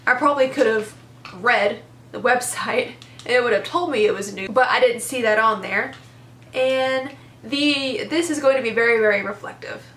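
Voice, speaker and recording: A young woman with a congested voice chats eagerly through a makeup review, piling on "super" and "very." Her voice sits a little high. The room has a noticeable echo. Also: moderate pace, fairly bright tone, slight background noise. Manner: chatty, enthusiastic, lots of "super" and "very". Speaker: beauty vlogger. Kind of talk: casual product review chat.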